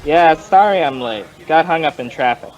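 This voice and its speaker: A man acts a freeloading American informant in a vintage radio drama. His fairly high voice is breezy, slangy and casual. The recording is dull and lo-fi. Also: animated, moderate pace, close to an everyday voice. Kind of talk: 1950s radio drama dialogue.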